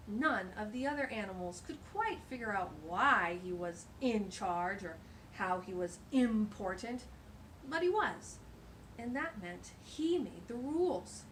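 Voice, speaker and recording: A woman's voice: medium pitch, a little hiss or hum, poor audio. A woman hosting a children's storytime, reading aloud expressively with exclamations and chatty asides. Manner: animated, exclamatory.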